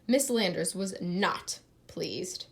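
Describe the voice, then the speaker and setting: A woman reading a picture book aloud in an expressive, teacherly way, pausing to address young listeners with questions. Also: on the low side. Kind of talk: expressive children's read-aloud with asides and questions.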